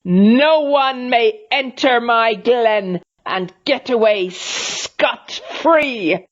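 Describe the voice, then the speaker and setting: A vicious female swamp creature with a long thin body hisses out her threats, raised high and loud above the telling, each word slowly stretched. A woman reading the story puts her on. The recording sounds dull and muffled. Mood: angry, menacing. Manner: hissing, menacing, drawn-out threats.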